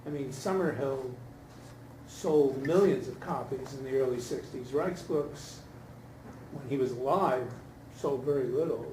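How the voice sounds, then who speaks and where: A man's voice: medium pitch, measured pace, warm tone. A man giving hesitant, informal feedback in a group discussion, thinking aloud as he goes. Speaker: audience member, scholar giving feedback. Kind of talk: informal spoken critique at a talkback. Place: indoor room at a post-reading discussion, far from the mic. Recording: distant roomy recording with background noise.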